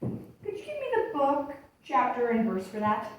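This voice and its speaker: A woman's voice: a little high, huge pitch swings, a little slow. A woman voicing a bossy, reproachful talking Bible in a church puppet show, admonishing and instructing with emphasis. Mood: stern teaching. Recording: echoey room, distant mic, faint noise.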